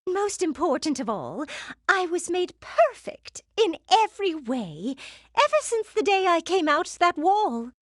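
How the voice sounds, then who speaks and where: A woman voicing a vain, gushing gem queen villain in an animated game, theatrical and condescending. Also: very high voice, measured pace, no room sound, clean studio sound, full character voice. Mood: haughty, menacing.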